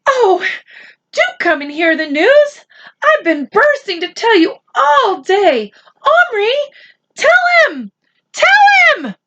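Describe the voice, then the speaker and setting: Woman acting out an excitable, high-pitched storybook mother. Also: slow, lo-fi sound, slight background noise, full character voice. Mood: thrilled, bursting. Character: excitable, gushing British mother of a boy.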